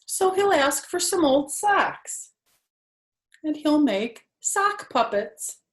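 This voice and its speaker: Older woman reading aloud, very expressive pitch. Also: reverberant. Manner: grandmotherly, chatty, asking listeners questions and pausing on phrases. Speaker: storyteller reading a picture book to children. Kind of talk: animated read-aloud with casual asides.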